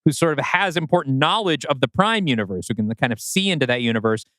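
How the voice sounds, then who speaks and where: A man's voice: medium pitch, lively intonation, fast, fairly bright tone, no echo, studio quality. A man co-hosting a podcast in casual chat, reading out listener comments in a playful, conversational way. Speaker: podcast co-host.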